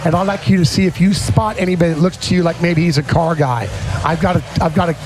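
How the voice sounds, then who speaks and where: A man's voice: on the high side, fairly even intonation, fast talker, noisy background. A man hosting a podcast in casual, rambling live chat, often repeating his phrases. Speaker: podcast host. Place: busy car-themed restaurant, live on location.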